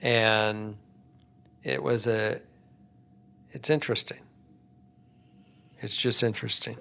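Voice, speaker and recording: A man's voice: fairly low pitch, fairly even intonation, takes it slowly, vocal fry, sharp tone. A man co-hosting an advice podcast, talking casually and bluntly, often repeating words as he starts a thought.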